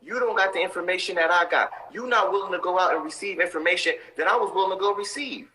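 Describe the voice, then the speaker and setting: A man's voice: high voice, quick pace, bright, forceful tone. A man in his thirties giving motivational talk on a live stream, intense and preachy, repeating his points for emphasis. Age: 30s.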